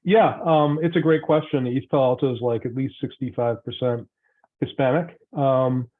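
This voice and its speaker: A man's voice: medium pitch, steady tone, normal speed. A man running for city council, answering a panel question in an earnest, conversational way while thinking aloud. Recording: tinny call-quality audio.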